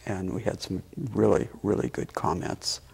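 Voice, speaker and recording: A middle-aged man with a gravelly, husky voice, explaining things in a halting, measured way as an interview guest. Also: low voice, expressive, darkish tone. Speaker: theatre board member and director, guest. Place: small TV studio, seated at a table. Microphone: lapel mic.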